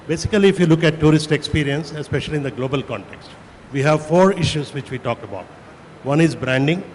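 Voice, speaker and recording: A man with an Indian English accent moderating a panel, brisk as he directs questions. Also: a little high. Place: large conference hall. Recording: echoey hall, faint noise.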